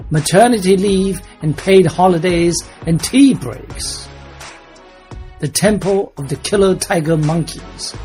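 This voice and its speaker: A man reading a scripted trailer narration in a dramatic, deliberate storytelling manner. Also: a little high, a little mellow. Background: music.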